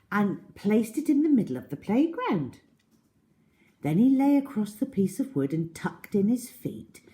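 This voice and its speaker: A woman reading a children's story aloud in a dramatic, deliberate, expressive way. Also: mid-range voice.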